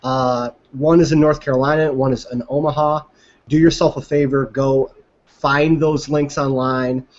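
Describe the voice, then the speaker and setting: A man with a medium-pitched voice hosts an online podcast, presenting in a casual, conversational way. The recording is a little dull and lo-fi. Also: little pitch movement, moderate pace. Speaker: podcast host. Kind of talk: casual show introduction.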